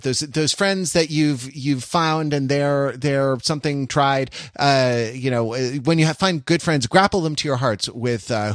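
A man's voice: fairly high pitch, a bit bright, no room sound, studio quality. A man co-hosting a podcast in casual chat, with a jokey, rambling way of talking. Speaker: podcast co-host. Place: remote recording on a video call.

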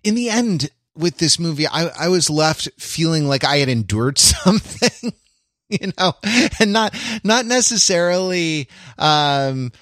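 A man co-hosting a podcast, talking through a film casually and haltingly, repeating phrases as he thinks aloud. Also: fairly high pitch, animated, fairly bright tone, dry recording, studio quality. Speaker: podcast co-host.

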